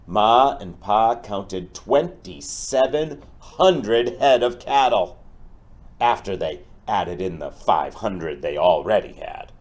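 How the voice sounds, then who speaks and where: A man teaching through a storybook read-aloud, speaking deliberately and explaining things directly to his pupils. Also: on the low side, expressive.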